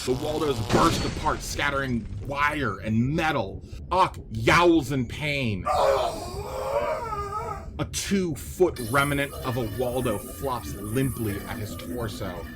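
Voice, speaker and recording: A man's voice: medium pitch, slow pace. A man narrating the action of a scripted radio play in a dramatic manner. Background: music.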